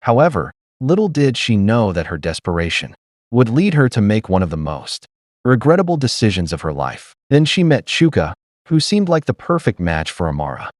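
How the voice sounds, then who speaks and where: A man narrating a scripted dramatic story in a solemn, measured storytelling manner. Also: lowish voice, very animated, dry recording, studio quality.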